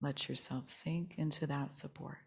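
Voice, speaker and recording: A woman teaching yoga nidra, leading a guided relaxation meditation in a soothing, gentle, unhurried manner. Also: low-pitched, steady tone, gravelly, breathy edge.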